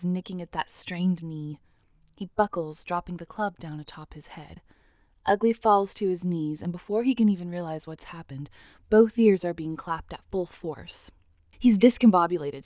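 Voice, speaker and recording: A woman's voice: on the low side, a little mellow, no room sound, studio quality. A woman narrating an audio drama as scripted, dramatic storytelling.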